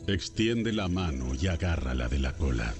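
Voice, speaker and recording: A man's voice: rumbling low, very animated, moderate pace, music in the background, exaggerated voice. A man playing God as a stern, authoritative deity in a solemn, commanding dramatized Bible audio.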